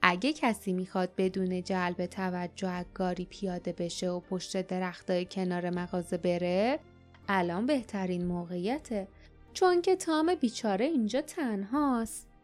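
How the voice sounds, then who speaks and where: A woman's voice: on the high side, darkish tone, faint music behind, lightly acted. A woman voicing a cunning middle-aged male shopkeeper who talks about himself in the third person in a wheedling way, in an audiobook. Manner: cunning, wheedling, calls himself poor Tom.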